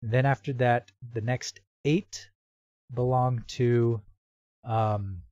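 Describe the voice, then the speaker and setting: A man with a mid-pitched voice talks through a software tutorial casually and a bit haltingly, working it out step by step. The recording is dry and close, with a slightly dull, lo-fi home microphone sound. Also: fairly even intonation, dry recording. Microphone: computer microphone with real-time noise removal.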